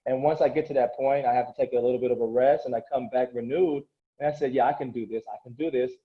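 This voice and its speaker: A man's voice: mid-range voice, fairly even intonation, fast. A man whose first language is Korean speaks as a coach in a casual interview. He talks earnestly and motivationally, rambling and restarting his thoughts. His mid-range voice comes through dull and lo-fi.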